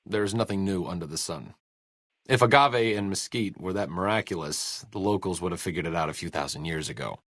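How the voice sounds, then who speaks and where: A man's voice: low voice, brisk, a bit bright, no echo. A man playing a wry, bookish, self-deprecating doctor and researcher in a video game, with dry, erudite understatement. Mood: dryly musing. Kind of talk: video game character dialogue.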